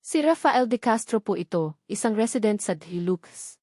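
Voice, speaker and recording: A woman's voice: mid-range voice, expressive, normal speed, dark tone, no room sound, clean studio sound, natural voice. A woman narrator voicing a resolute young male doctor who speaks formally and respectfully, in an audio story. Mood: eerily calm.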